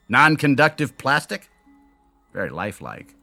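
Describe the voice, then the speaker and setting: A man's voice: mid-range voice, very animated, slow, vocal fry, bright, forceful tone, faint music behind, full character voice. An actor in a radio drama playing a brilliant, lonely male inventor who explains himself in theatrical, brooding monologues. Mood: proud explaining. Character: brilliant, lonely, self-doubting male inventor of an android double.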